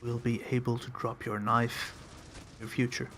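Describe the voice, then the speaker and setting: A man's voice: medium pitch, flat delivery, normal speed, a bit bright, slight background noise. A man from Finland, hosting a game developer's community stream, answering viewer questions in a casual, hesitant way as he searches for English words.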